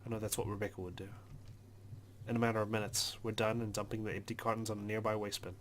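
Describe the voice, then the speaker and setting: A man reading a video game's story aloud as a let's player, in an expressive, dramatic narrating style. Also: on the low side, quick pace, a little hiss or hum. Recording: music behind the voice.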